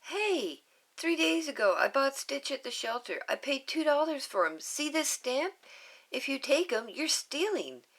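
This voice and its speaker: A woman doing a high little girl voice. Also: big pitch swings, unhurried, fairly bright tone, heavily acted. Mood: earnest. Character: little Hawaiian girl, stubborn and loving.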